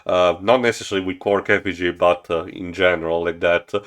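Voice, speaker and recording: Low-voiced man narrating casually. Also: steady tone, fairly quick, a bit bright. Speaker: co-host of a comic read-along.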